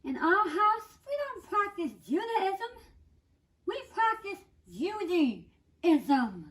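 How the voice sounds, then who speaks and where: A woman with a strikingly high voice performs a comic monologue in a theatrical style. Her pitch swings widely from phrase to phrase. She takes her time, leaning on dramatic, emphatic pauses. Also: sing-song, low-quality recording. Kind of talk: theatrical first-person comic monologue reading.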